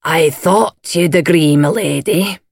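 Woman as a stern, slow Scottish gardener. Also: lowish voice, dry recording, studio quality. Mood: menacing deference. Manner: slow, firm, noncommittal, quietly menacing. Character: stern dour Scottish head gardener, a man, stubborn. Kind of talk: audiobook character voice.

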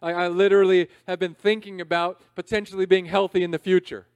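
A man in his thirties preaching a sermon in a conversational, self-deprecating way, swinging between loud emphasis and quiet asides. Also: high-pitched, little pitch movement, quick pace, clear, resonant tone, no room sound. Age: 30s. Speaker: pastor.

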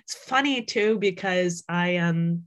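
A woman's voice: fairly low pitch, slow. A young adult woman, an author, talking casually in an interview, with lots of fillers, restarts and laughs. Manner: chatty, many fillers and restarts, laughs often. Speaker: author guest.

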